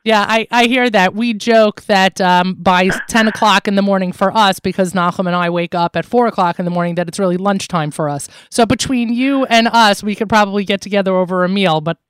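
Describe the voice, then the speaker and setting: A man co-hosting an interview who asks questions in a warm, encouraging way and laughs easily. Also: high voice, steady tone, fairly quick, few pauses, smooth, dry recording. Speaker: podcast co-host. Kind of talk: interviewer, conversational.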